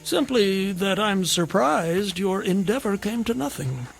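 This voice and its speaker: An actor playing a wry, courteous, needling gentleman in a radio drama, with ornate and theatrical phrasing. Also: sits high, expressive, measured pace, gravelly, faint music behind, full character voice. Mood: amused, probing.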